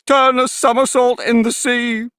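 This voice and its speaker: A man's voice: fairly high pitch, animated, fairly bright tone, dry recording, voice pushed up. A sorrowful, tearful storybook sea creature, voiced by a man drags out each word in a raised, quavering voice. His tone rises and falls widely. The sound is dry and close, with no room around it. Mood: excited. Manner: slow, drawn-out and mournful. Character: weepy melancholy sea creature, male, slow and mournful.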